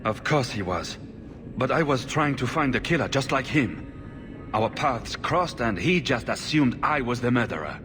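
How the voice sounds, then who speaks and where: A man's voice: mid-range voice, animated, normal speed, over music, natural voice. A man acting a desperate, vengeful blood mage in a video game, intense, defensive and insistent. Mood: grim, determined. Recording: noisy, long-echoing hall.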